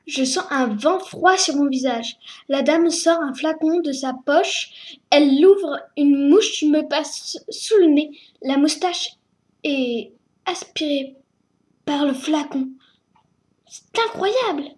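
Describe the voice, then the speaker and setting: A woman reading a children's story aloud, slowly and hesitantly, stumbling over words. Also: high voice. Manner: slow, hesitant reading with fillers.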